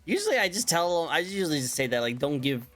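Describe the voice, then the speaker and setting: A man's voice: a little high, big pitch swings, fast talker, fairly bright tone, soft background music. A man hosting a casual online interview show, upbeat and playful, often repeating his own phrases. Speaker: talk show host.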